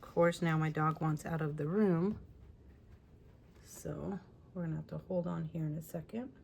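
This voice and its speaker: A woman with a slightly lowish, raspy voice talks through a paper-crafting tutorial in her home craft room. She chats casually and keeps apologizing in little asides as she works. A faint hum from a fan sits underneath. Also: steady tone, moderate pace, a little mellow. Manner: chatty, apologetic asides while working. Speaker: scrapbooking tutorial host. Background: fan running.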